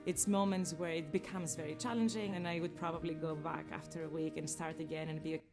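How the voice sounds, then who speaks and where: Woman from greece, lowish voice, earnest reflective testimonial, music underneath. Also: fairly even intonation, fast. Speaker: student in a promo video. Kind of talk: personal testimonial. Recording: voice over music bed.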